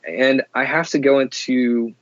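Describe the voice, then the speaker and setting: A man's voice: mid-range voice, fairly even intonation, a little slow, no room sound, highs cut off. A man from Oklahoma, a guest talking earnestly and thoughtfully in an interview, often restarting his sentences. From Oklahoma, USA. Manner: earnest, thoughtful, with frequent restarts and "you know". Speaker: podcast guest. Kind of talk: candid interview conversation.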